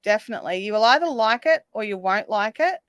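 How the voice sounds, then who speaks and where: A woman hosting a craft livestream, chatting casually and reading out viewer comments while she crochets, her voice slightly raspy. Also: medium pitch, lively intonation, quick pace, a bit bright, dry recording, clean studio sound. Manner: chatty, reads viewer comments aloud, counts stitches under her breath. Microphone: desk microphone.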